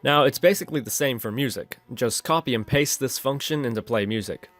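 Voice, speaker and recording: A man narrating an instructional screencast as the host of a software tutorial. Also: medium pitch, fairly quick, soft background music.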